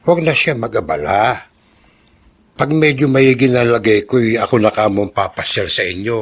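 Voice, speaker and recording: An actor playing an ailing, remorseful old father, plaintive and halting, in a Filipino radio drama. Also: medium pitch, lively intonation, husky. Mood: subdued, polite. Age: older.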